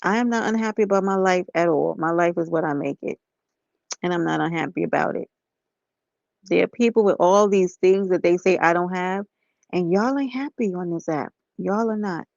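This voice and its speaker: A woman, slightly hoarse, talking softly and casually to viewers on a live stream from bed. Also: fairly low pitch, moderate pace, no echo, muffled recording. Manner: soft-spoken, talks straight to viewers as y'all and honey.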